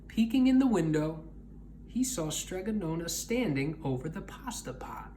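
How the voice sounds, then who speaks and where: A male storyteller reads a picture book for children with very lively, wide-ranging intonation. His delivery is expressive, with clear noise in the background. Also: on the high side, moderate pace. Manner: animated, expressive.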